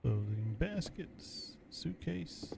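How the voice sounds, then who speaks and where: A man's voice: fairly high pitch, big pitch swings, extremely slow, vocal fry, noisy background. A man giving casual, laid-back gameplay commentary, musing to himself as he plays. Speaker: let's play gamer commentating.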